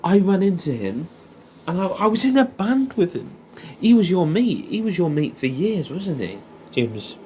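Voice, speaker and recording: A man's voice: high-pitched, big pitch swings, moderate pace, mellow, a little hiss or hum. A young man with an English accent speaking in a casual, intimate, rambling and hesitant monologue, as if catching up with an old friend. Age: young adult. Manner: rambling, hesitant, informal with swearing.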